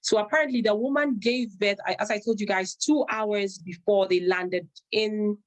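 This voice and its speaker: A woman's voice: medium pitch, steady tone, moderate pace, no room sound. Ghanaian woman attorney on a video call. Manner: thoughtful, restarts often. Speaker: immigration attorney presenter. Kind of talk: video call panel discussion.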